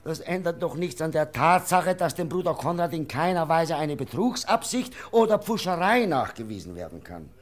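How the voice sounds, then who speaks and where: An actor playing a fair-minded official in a radio play, reasoning formally and deliberately. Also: male voice, a little high, very animated. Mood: firm. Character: fair-minded, measured official defending a devout monk. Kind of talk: German radio play acting.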